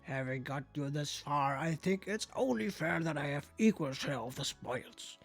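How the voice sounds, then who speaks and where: Brief: haughty male cat major for a visual novel, played by a man, lively swooping delivery, impatient, music underneath. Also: fairly high pitch, moderate pace, vocal fry, slightly rough, heavily acted. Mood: demanding. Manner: pompous, condescending. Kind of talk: visual novel character read aloud. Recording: music behind the voice.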